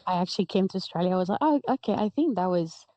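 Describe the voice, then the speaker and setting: A woman's voice: lowish voice, animated, very fast, no echo. A young woman from Guatemala, a guest in an interview, telling her story fluently. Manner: warm laughs, fluent storytelling. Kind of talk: interview answers. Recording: dull, dry sound.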